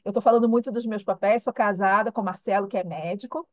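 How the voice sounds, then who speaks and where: A woman's voice: medium pitch, fairly even intonation, fast, no room sound. A middle-aged woman with a Rio de Janeiro accent, answering interview questions in a reflective, conversational way. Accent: Rio de Janeiro Brazilian Portuguese. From Rio de Janeiro, Brazil. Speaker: interview guest, human development professional.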